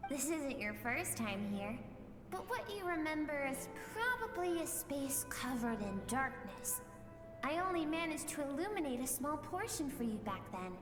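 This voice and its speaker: A woman voicing a playful, knowing spirit of an inner world, speaking gently and teasingly. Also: high voice, very animated, moderate pace. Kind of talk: fan voice-over of a game scene. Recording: echoey reverb with music.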